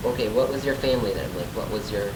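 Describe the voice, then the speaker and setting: Low-voiced man asking questions, echoey. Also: fast talker, very noisy. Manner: plain, prompting questions. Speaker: interviewer, grandson. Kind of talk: casual family interview. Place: home.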